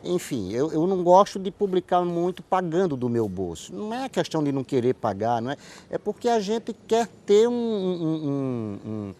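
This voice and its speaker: A man's voice: on the high side, very animated, moderate pace, warm tone. A man with a Northeastern Brazilian accent giving conversational interview answers, explaining things and repeating words as he searches for phrasing. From Paraíba, northeastern Brazil. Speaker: guest, writer and playwright.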